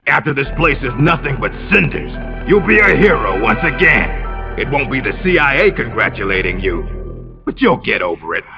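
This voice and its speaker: A smug, scheming officer in a game cutscene, with a fairly high, gravelly voice. He taunts with theatrical condescension, and the muffled audio sits under loud music. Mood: commanding. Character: smug, scheming traitorous male military officer, bitter and mocking. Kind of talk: dramatic video game cutscene acting.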